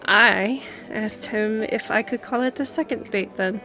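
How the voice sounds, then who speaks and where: Woman acting as female lawyer reminiscing, unhurried storytelling, slightly high voice, music behind, audio roleplay. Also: sharp tone, low-quality recording. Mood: fond, nostalgic. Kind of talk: scripted conversational audio roleplay.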